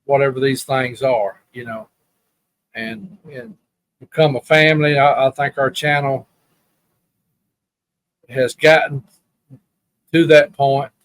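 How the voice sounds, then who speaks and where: A man's voice: medium pitch, fairly even intonation, takes it slowly, long pauses, no room sound. A man from Alabama with a Southern accent, talking as a guest in a casual, rambling, plain-spoken way. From Alabama, USA. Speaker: podcast guest. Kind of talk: casual reminiscing chat.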